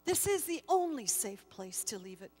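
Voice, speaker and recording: A woman voicing a solemn, firm male angel who speaks in grave, measured admonishment in a storybook reading. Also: a little high, very animated, darkish tone, breathy edge, big-room sound. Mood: calm, firm.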